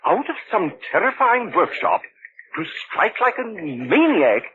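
An actor playing a brash, mocking newspaper editor in a 1940s radio drama, talking in a brisk, scoffing way. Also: male voice, high voice, sing-song, slight background noise. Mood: dramatic. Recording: dull old radio recording.

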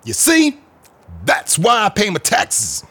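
An actor playing an anxious, slangy DJ under pressure, speaking hesitantly in an audio drama. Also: male voice, high-pitched, huge pitch swings, takes it slowly, sharp tone, breathy edge, exaggerated voice. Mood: desperate, eager. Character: anxious, foul-mouthed male DJ being blackmailed.

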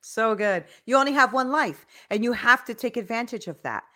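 A woman hosting an interview podcast, encouraging her guest with steady affirmations and asking questions. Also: fairly high pitch, normal speed. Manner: encouraging, frequent affirmations, preachy asides. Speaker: podcast host. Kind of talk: casual interview talk.

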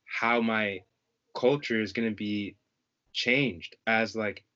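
A young American man, a college student, speaking thoughtfully in casual conversation, halting often with "like". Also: lowish voice, sharp tone, lo-fi sound. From United States. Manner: thoughtful, halting, frequent likes.

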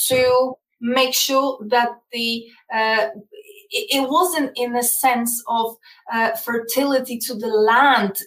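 A woman's voice: a little high, a bit bright, echoey room, recorded from a distance, poor audio. A woman with a Polish accent, talking as a guest in an interview, explaining earnestly and often repeating words. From Poland. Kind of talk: casual interview talk.

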